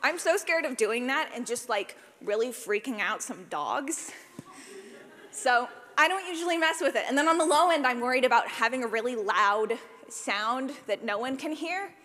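Bright, high-voiced woman in a reverberant hall. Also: moderate pace. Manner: animated, self-deprecating, jokey, says "like" often. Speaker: speaker, sound designer for audio fiction. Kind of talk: informal conference talk with audience questions. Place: large reverberant room, live talk.

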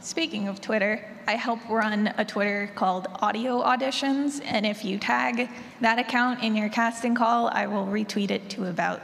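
A woman's voice: medium pitch, steady tone, normal speed, gravelly, a bit bright. A woman who produces audio drama, talking casually and in an upbeat way as a panelist. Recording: echoey hall, background noise.

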